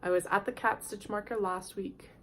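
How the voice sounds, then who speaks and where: A woman's voice: mid-range voice, steady tone, normal speed, a bit bright, slight background noise. Stuffed-up nasal woman chatting casually. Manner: chatty, rambling, with little laughs and throat clearing. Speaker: podcast host. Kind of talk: casual knitting podcast chat.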